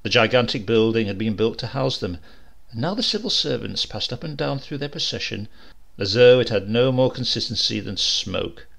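A man's voice: medium pitch, moderate pace, vocal fry. A man narrating a comic short story in a dry, wry storytelling manner. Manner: dry, wry storytelling with lively voiced dialogue.

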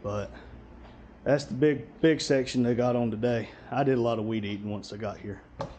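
A man's voice: medium pitch, moderate pace, darkish tone, slight background noise. A man, giving an easygoing walkthrough of his garage for a vlog. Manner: easygoing, drawling, folksy. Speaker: channel host. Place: large garage service bay. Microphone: action camera.

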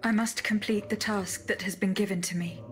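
A woman voicing a dutiful female automaton in a video game, speaking in a measured, formal, restrained way. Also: medium pitch, fairly even intonation, close to an everyday voice. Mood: calm, resolute. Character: female clockwork automaton, poised, dutiful servant of the Queen. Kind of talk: video game cutscene acting.

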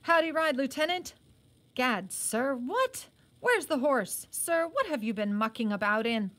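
A woman's voice: sits high, very animated, measured pace, a bit bright, pitched above the performer's own voice, exaggerated voice. A woman reading aloud as a blustering army colonel in a storybook, with clipped, demanding questions. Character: blustering, red-faced old British colonel.

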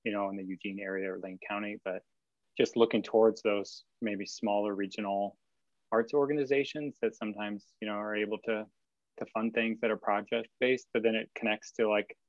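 A man's voice: fairly low pitch, steady tone, brisk, no room sound. A man in his thirties speaking casually as a panelist on a video call from a studio, with loose phrasing and frequent fillers. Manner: loose, filler-heavy, restarts. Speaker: panelist artist. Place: studio workspace. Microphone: video call webcam. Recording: dull dry webcam audio.

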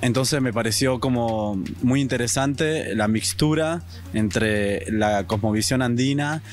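A man's voice: medium pitch, a little slow. A man in his twenties with a northern Argentine accent, a student artist answering earnestly in an interview. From Salta, northern Argentina. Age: 20s. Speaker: student artist and stage director. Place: theatre stage with curtains. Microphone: handheld reporter microphone. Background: music.

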